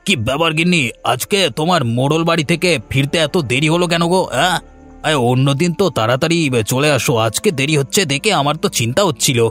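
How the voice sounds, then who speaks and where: A man's voice: a little high, fast, fairly bright tone, close to an everyday voice. A man playing an anxious, placating poor farmer husband in a cartoon, speaking in a pleading, plaintive way. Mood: worried, placating. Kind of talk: cartoon voice acting. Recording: music behind the voice.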